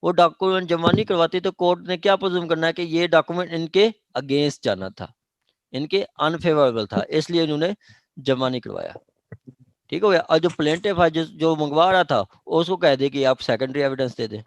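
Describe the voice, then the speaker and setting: Male law lecturer, Pakistani accent, slightly high voice, dry close sound, halting explanations, informal classroom delivery. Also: dry recording. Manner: halting, explanatory, frequently checking understanding. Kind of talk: informal classroom legal explanation with question and answer.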